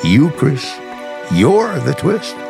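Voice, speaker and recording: A man's voice: fairly high pitch, wildly swooping pitch, very slow, vocal fry, over music, exaggerated voice. A man acting in a radio drama as a defiant, scornful editor, speaking in a commanding, dramatic way.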